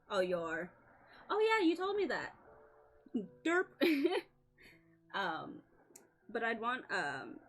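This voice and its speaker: Woman streamer, scratchy voice, low-pitched and unhurried, casual and apologetic, music playing behind her. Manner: casual, apologetic, swears and laughs often. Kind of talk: casual live-stream chat.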